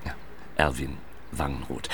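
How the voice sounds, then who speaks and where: An actor playing a polite, slightly put-upon young host in a radio drama, deferential and hesitant. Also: male voice, extremely low pitch, level tone, a little slow, a bit bright, a little hiss or hum. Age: young adult. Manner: deferential, hesitant, short acknowledgements. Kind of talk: naturalistic radio drama dialogue.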